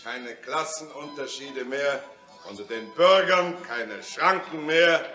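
An actor in a German radio play, playing a boisterous, philosophizing Parisian of the Commune era who declaims and sings. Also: male voice, a little high, slow. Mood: proud, philosophizing. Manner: declamatory, theatrical. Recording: roomy, distant radio-play sound with loud music.

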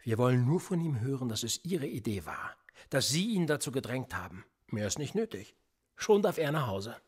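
An actor playing a world-weary, needling middle-aged police interrogator in a German radio play, pressing with rhetorical questions. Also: male voice, medium pitch, sing-song, normal speed, a little mellow, breathy edge. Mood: persuasive, reflective.

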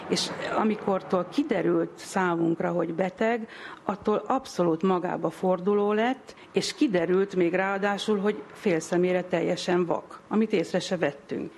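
Woman, middle-aged or older, interviewee giving spontaneous testimony, noticeably creaky voice, mid pitch. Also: darkish tone, slight background noise. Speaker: interviewee, relative of a patient. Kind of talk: personal testimony, spontaneous storytelling.

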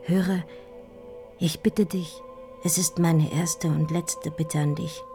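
Grieving dying letter writer, low voice, subdued melody, creaky, halting whispers, feverish, radio drama monologue. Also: female voice, fairly even intonation, over music. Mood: whispered pleading. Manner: breathy, halting, repeating words in anguished whispers. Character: heartbroken dying woman writing a farewell love letter.

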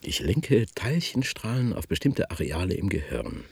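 An obsessed physicist in a radio play. The voice is deep and gravelly, swinging widely in pitch. The delivery is sardonic and theatrical. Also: male voice, wildly swooping pitch, moderate pace, no room sound, full character voice. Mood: cold, grandiose. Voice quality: gravelly, very husky. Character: obsessed, sardonic male particle physicist professor. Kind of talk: German radio play acting.

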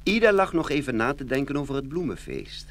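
A man narrating a scripted children's fairy tale in an expressive, dramatic storytelling manner. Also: mid-range voice, fairly quick.

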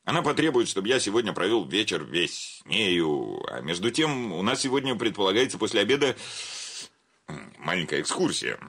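An actor playing a pompous, jittery, sentimental bank director in a radio play, with a fussy, hesitant manner. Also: male voice, fairly low pitch, very animated, moderate pace, fairly bright tone, slightly rough, heavily acted. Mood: nervous, weary. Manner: fussy, self-important, hesitant. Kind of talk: theatrical radio play of a classic comedy.

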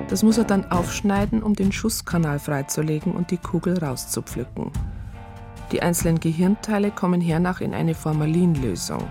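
A deadpan crime-story narrator, low and gravelly. She tells it in a dry, clinical way over plucked guitar music. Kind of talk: scripted radio drama narration.

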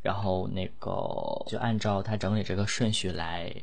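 A man's voice: low-pitched, steady tone, normal speed, creaky. A man casually hosting a live question-and-answer session in a playful, rambling way. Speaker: host and lead voice actor of an audio drama.

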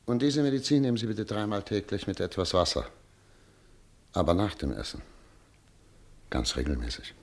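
An actor playing a calm, measured doctor in a radio play. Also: male voice, fairly low pitch, natural voice.

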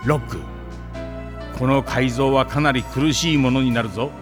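A man playing a kindly, grave old scientist in an anime audio drama, speaking in a measured, fatherly way. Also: mid-range voice, fairly even intonation, music in the background, lightly acted. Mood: grave, concerned.

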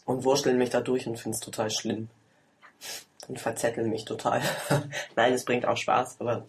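A man's voice: mid-range voice, flat delivery, moderate pace, slight background noise. Man, 20s, mid voice, casual self-mocking chatter, laughs a lot. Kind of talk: casual chat. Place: home, at a table over tea.

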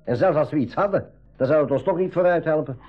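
An actor playing a blunt, wary seafaring adventurer in a 1980s school radio play. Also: male voice, on the high side, quick pace, breathy edge. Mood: grim, resigned. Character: gloomy, wary seafaring adventurer, male companion. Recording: dull lo-fi 1980s radio recording.

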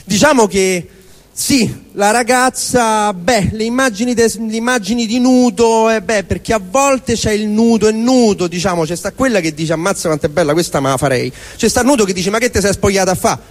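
Man, Roman accent, high voice, theatrical comic monologue, rambling and exasperated, long live-venue reverb. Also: a little fast. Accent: Roman Italian. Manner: rambling, exasperated, talking to himself. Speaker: stage performer.

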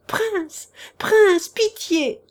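A woman's voice: on the high side, measured pace, darkish tone, close to an everyday voice. A woman reading the part of a male swindler who pleads, wheedles and begs for mercy in a classic novel. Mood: desperate. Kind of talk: audiobook character reading.

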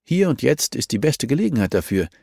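A male reader puts on a gracious society wife for an audiobook. She is breezy, wry and eager to help. The voice is lifted clearly in pitch, with a dry sound. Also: very animated, brisk, darkish tone, no echo. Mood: obliging. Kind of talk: audiobook character dialogue.

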